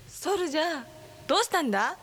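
A woman's voice: sits high, sing-song, fast talker, a bit bright, a little hiss or hum. A woman playing a frightened, defiant young boy in an amateur radio drama. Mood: concerned.

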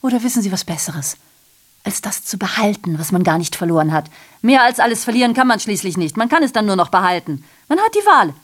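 A woman's voice: medium pitch, very animated, fast. A woman in a radio drama playing a sardonic messenger who reports on war and ruin with rhetorical questions and ironic asides. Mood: bitter, defiant. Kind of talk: literary radio drama monologue.

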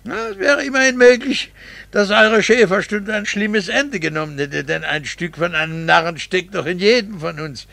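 An actor playing a bitter, condescending middle-aged husband in a theatrical 1960s radio drama. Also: male voice, sits high, expressive, creaky, bright, forceful tone, no room sound, heavily acted. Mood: cold, mocking. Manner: sardonic, theatrical, drawn-out rhetorical phrasing. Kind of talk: 1960s German-language radio drama acting.

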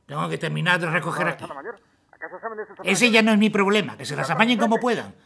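Brief: clipped, commanding colonel, high and gravelly voice, music underneath. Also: male voice, expressive, brisk, a crowd in the background. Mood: resolute. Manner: curt, commanding. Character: hurried army colonel deserting his post. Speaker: Colonel Villalba. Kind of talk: radio drama acting.

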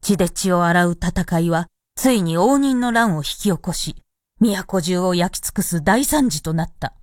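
A woman's voice: lowish voice, animated, measured pace, no room sound, clean studio sound. A woman narrating a radio drama as a scripted storyteller. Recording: loud music behind narration.

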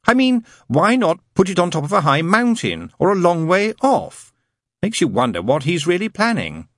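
A man's voice: high voice, sing-song, normal speed, no room sound, clean studio sound, pitched above the performer's own voice, exaggerated voice. A man voicing a sarcastic male demon who needles and teases in a wry drawl. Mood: teasing. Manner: sarcastic, teasing.

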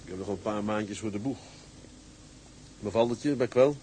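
An actor playing a wry, plain-spoken ship's mate in naturalistic drama dialogue, dry and colloquial. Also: male voice, fairly low pitch, normal speed, dark tone, a little hiss or hum, natural voice, rough recording. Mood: dry, friendly.

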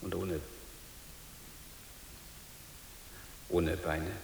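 A low, creaky-voiced, troubled father in a radio drama. He speaks haltingly and pleads to keep his faith. The sound is hissy and rings in a large echoing hall. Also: flat delivery. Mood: anxious. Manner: halting, repeats himself, pleading. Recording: hissy, echoey reverberant hall.

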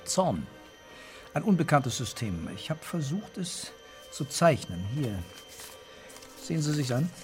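Terse, brooding detective. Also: male voice, on the high side, big pitch swings, unhurried, long pauses, soft background music. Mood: pensive. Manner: understated, terse, pensive. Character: brooding, terse male police detective, quietly intense. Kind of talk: German radio crime drama acting.

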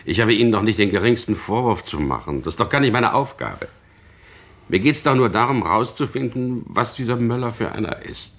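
A probing chief inspector in a radio crime play. His low voice moves expressively up and down as he needles and presses, always in control. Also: lively intonation, brisk, a bit bright. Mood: probing, coaxing. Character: probing, persistent male police chief inspector. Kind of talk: German radio crime drama acting.

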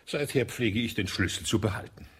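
An actor playing a blustery, middle-aged colonel and doting husband in a German radio drama, with a husky voice. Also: male voice, fairly low pitch, fairly quick. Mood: brisk, stern.

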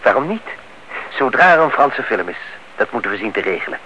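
A man's voice: medium pitch, big pitch swings, a little fast, natural voice. An actor playing a polite, eager married man who lives upstairs, in a Dutch radio play. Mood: friendly. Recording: dull, hissy old radio recording.

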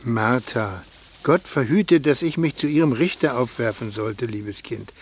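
A man's voice: mid-range voice, a little slow, a little hiss or hum, lightly acted. An actor playing a kindly, devout older working man in a German radio drama, earnest, heartfelt and plain-spoken. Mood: gentle, remorseful.

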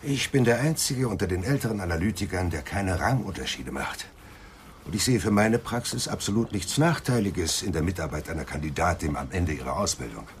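An actor playing a vain, defensive older psychoanalyst under interrogation in a radio crime drama, testy and sardonic. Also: male voice, sits low, lively intonation, a little fast, slight background noise. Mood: sardonic, self-important. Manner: testy, self-important, sardonic.

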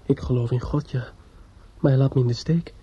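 An actor playing a grief-stricken, distraught young man in a Dutch radio drama, speaking haltingly with stammers and repetitions. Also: mid-range voice, steady tone, dark tone, husky. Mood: grieving, bewildered. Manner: halting, stammering, repeating himself. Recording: dull, slightly noisy old radio recording.

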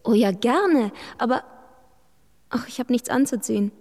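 Higher-pitched, coy young woman. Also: expressive, unhurried, darkish tone. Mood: shy. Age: young adult. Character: eager, coy young aspiring woman writer. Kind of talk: radio drama dialogue.

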